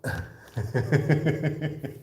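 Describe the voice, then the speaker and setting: A man's voice: medium pitch, expressive, very slow, darkish tone, reverberant, a little hiss or hum. A man with an Indonesian accent, a Sundanese native speaker, hosting a casual live chat and talking with viewers, joking and teasing with many fillers and restarts. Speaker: host of a live chat stream.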